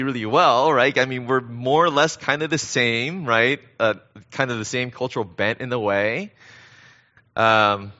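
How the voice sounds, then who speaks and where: A middle-aged American man preaching conversationally as a guest pastor, speaking in an earnest, halting way. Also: medium pitch, pushed and bright, muffled recording. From born in Korea, grew up in Southern California. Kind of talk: conversational sermon.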